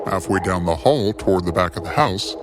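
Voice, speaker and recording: A man with a fairly low voice reads scripted fiction as narrator. His delivery is measured and dramatic, with deliberate phrasing. Music plays clearly behind him. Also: animated.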